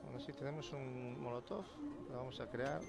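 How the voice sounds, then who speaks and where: A man's voice: medium pitch, steady tone, moderate pace, creaky. A man with an accent from Spain doing casual gameplay commentary. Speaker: gaming YouTuber. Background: game ambience.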